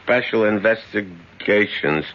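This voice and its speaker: An actor playing a suspicious, tough escaped convict with streetwise, slangy speech in an old-time radio drama. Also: male voice, lowish voice, takes it slowly. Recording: muffled, noisy old radio recording.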